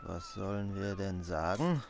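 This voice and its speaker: A man's voice: deep voice, very animated, unhurried, exaggerated voice. A man in a German radio play acting a blunt, sceptical villager with short questioning retorts. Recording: clear noise, honking geese behind.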